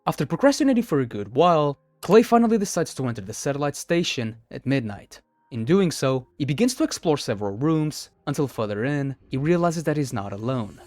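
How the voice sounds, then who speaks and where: A man's voice: mid-range voice, big pitch swings, fairly quick, a little mellow. A man reading scripted narration, retelling stories as the narrator of a video. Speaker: narrator of a horror video countdown. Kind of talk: scripted narration, storytelling recap.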